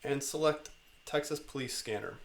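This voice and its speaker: A man's voice: medium pitch, moderate pace. A man narrating an instructional screencast as the presenter, guiding the listener step by step. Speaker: tutorial presenter.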